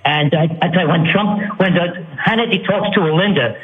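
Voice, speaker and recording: A high-voiced man with a New York accent calls in over a thin, phone-like line. He rambles in an excitable way, and his voice sits well above most men's. The call sounds squeezed and lo-fi. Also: normal speed. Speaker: caller. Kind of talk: call-in chat.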